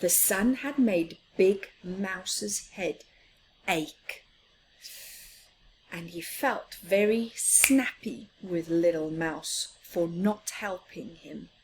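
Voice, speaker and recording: A woman's voice: on the low side, very slow, a little hiss or hum, poor audio. A woman reading a picture book aloud expressively for young children, putting questions to them and often dropping toward a whisper. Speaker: children's storyteller.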